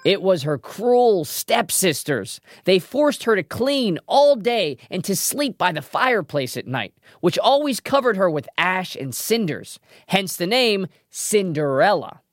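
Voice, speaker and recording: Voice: medium pitch, big pitch swings, unhurried, hardly any pauses, no echo, studio quality. A trivia show host presenting a scripted quiz in a playful way, with wry asides. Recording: music behind the voice.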